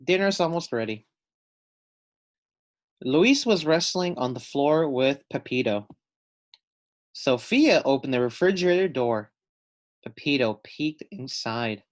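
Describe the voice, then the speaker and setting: A man reading a children's picture book aloud with expressive, teacherly delivery and deliberate phrasing. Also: mid-range voice. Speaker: read-aloud storyteller.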